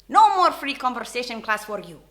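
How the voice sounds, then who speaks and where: A woman hosting a vlog, giving a casual, opinionated rant in an animated, informal way and mixing Indonesian phrases into her English. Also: a little high, brisk. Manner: animated, informal, acts out short imagined exchanges. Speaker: YouTube host.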